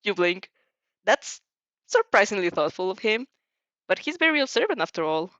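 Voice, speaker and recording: A woman giving an expressive, emotive reading of a story as an amateur narrator, now and then stumbling over lines. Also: medium pitch, moderate pace, dry recording, lo-fi sound. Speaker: amateur fan fiction narrator.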